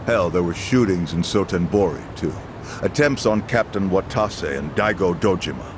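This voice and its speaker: An actor playing a wary, streetwise underworld informant with casual confidence in a video game scene. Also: male voice, low voice, expressive, normal speed, noisy background. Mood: wary, matter-of-fact. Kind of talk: video game dub acting.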